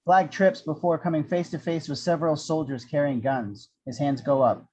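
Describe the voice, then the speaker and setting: A man's voice: on the high side, fairly even intonation, normal speed, a little mellow, husky, poor audio. A man reading action descriptions as the narrator of an amateur screenplay table read.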